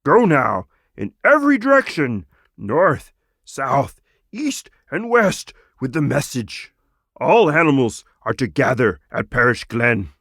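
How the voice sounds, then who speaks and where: A man's voice: medium pitch, sing-song, slow pace, fairly bright tone, no echo, clean studio sound, voice pushed up, full character voice. A man voicing a proud, noble lizard wizard in a storybook, speaking in a solemn, declamatory way. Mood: rousing, commanding.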